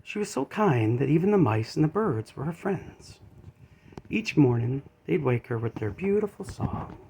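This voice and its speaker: A man's voice: a little high, big pitch swings, normal speed, gravelly, darkish tone, slight background noise, poor audio. A man narrating a children's storybook aloud.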